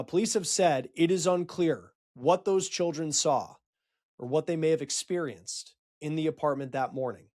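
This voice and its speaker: Higher-pitched man reporting a crime case. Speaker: true-crime show host. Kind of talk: reporting a crime case, explanatory news storytelling.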